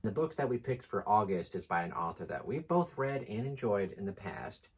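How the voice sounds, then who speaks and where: A man's voice: on the low side, sing-song, moderate pace, breathy edge. A man co-hosting a book channel in casual, unscripted chat, easygoing and joking with frequent asides.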